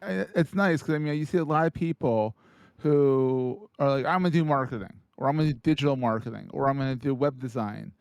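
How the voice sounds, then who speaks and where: A man's voice: medium pitch, a little fast, creaky, clear, resonant tone, no room sound. Upbeat guy hosting a podcast interview, chatty and friendly, dry close sound. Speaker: podcast host. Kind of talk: casual interview chat.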